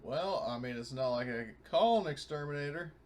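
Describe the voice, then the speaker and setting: A man's voice: a little high, animated, brisk, darkish tone. A man chatting casually as a player in a tabletop roleplaying game.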